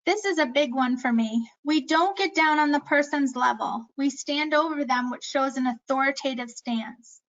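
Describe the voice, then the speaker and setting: A woman with a high-pitched voice presenting an earnest, instructive talk on memory care, with slightly dull audio. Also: fairly bright tone. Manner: earnest, instructive, self-aware about speaking fast. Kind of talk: scripted educational presentation.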